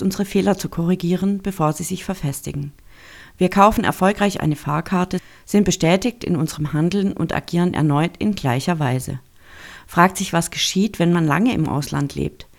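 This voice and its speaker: A reporter reading a scripted feature narration in a measured, formal way. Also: medium pitch, gravelly. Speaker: radio reporter.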